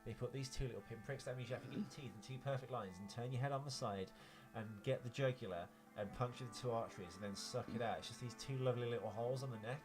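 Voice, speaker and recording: Guy rambling quickly on a film podcast, casual and opinionated, music going behind. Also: medium pitch. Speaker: podcast co-host. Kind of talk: casual banter and film commentary.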